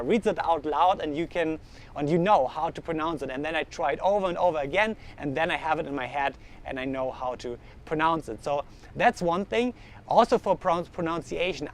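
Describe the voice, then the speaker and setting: A young man with a German accent speaks in a slightly high voice, earnest and casual, often restarting his sentences as he searches for words. He vlogs outdoors on a sunny street, picked up by the camera mic, with faint traffic and city noise behind him. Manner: earnest, thinks aloud with frequent restarts. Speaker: vlogger. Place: outdoors in the sun, urban street.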